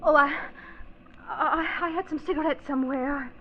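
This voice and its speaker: A woman acting as a nervous young lady with a high voice, polite and well-bred. She hesitates and falters as if hiding fear, in forties radio style. The old recording sounds muffled over faint noise. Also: steady tone, moderate pace, lightly acted. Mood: nervous, faltering. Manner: hesitant, breaking off and repeating words. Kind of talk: 1940s radio drama acting.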